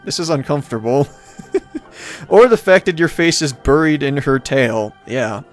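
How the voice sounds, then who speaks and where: A man's voice: fairly high pitch, expressive, moderate pace, soft background music. A man hosting a let's play, reading a visual novel aloud and reacting casually as he goes.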